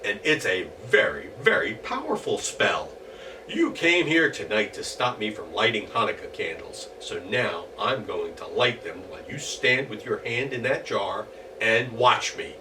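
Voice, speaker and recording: A man voicing a clever, teasing storybook hero in an unhurried way. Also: fairly low pitch, big pitch swings, distant mic, background noise.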